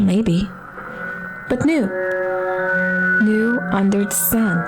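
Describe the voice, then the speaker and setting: Actress as a tentative young survivor, music behind. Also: female voice, mid-range voice, close to an everyday voice. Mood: wistful, hopeful. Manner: musing, self-encouraging. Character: hopeful, tentative young woman braving a broken world. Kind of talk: post-apocalyptic audio drama monologue. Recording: music under the voice.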